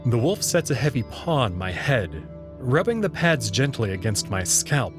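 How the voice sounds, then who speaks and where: Animated male story narrator over music. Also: mid-range voice, moderate pace. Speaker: host and narrator of a visual novel read-through. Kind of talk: scripted expressive story narration. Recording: music behind the voice. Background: background music.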